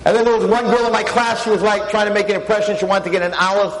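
Man in his sixties, loud lecturing voice, slightly high pitch, faint background noise. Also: little pitch movement, rapid-fire. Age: 60s. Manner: emphatic, rhetorical questions. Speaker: teacher. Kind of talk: live lecture to an audience. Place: talk in front of an audience. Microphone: handheld or stand mic.

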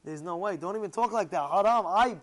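A man's voice: sits high, fast, fairly bright tone. A man voicing a devout, stubborn man in a religious tale, indignant and emphatic, repeating himself as he refuses. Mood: indignant refusal. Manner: emphatic, incredulous, repetitive.

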